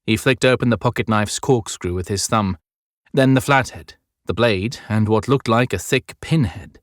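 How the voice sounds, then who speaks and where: A man's voice: lowish voice, normal speed, no room sound, clean studio sound. A man narrating an audiobook in a scripted, dramatic storytelling style.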